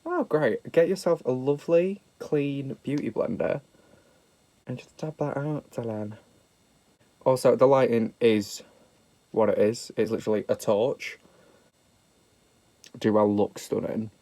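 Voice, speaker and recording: A man's voice: mid-range voice, a little slow, pauses often, warm tone, no room sound. A young British man with a slightly nasal voice from a sniffle, chatting casually and self-mockingly through a makeup tutorial. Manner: sweary, camp and self-mocking. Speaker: makeup vlogger.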